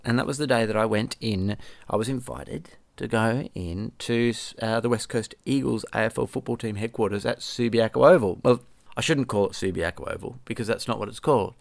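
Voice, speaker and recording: A man's voice: medium pitch, fairly quick, vocal fry, no room sound. An Australian man from Perth hosting his own podcast, chatting casually and rambling, often correcting himself and swearing now and then. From Perth, Western Australia. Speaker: podcast host and musician. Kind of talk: casual solo podcast chat.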